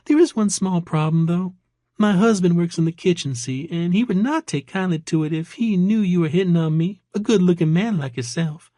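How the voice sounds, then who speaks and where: A man's voice: sits high, moderate pace, dark tone, no room sound, studio quality, voice pushed up, close to an everyday voice. A man voicing a perky, flirtatious waitress with a Southern Georgia accent in an audiobook. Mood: flirty, teasing. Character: perky flirtatious waitress, Southern woman who calls customers sugar. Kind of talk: audiobook character voice.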